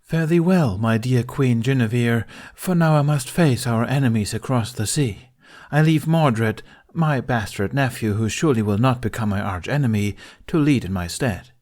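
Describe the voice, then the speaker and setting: A man's voice: medium pitch, moderate pace, darkish tone, lightly acted. A man voicing a mischievous young man who hams it up as a grandiose king in a storybook. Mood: grandly dramatic. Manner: playfully grandiose.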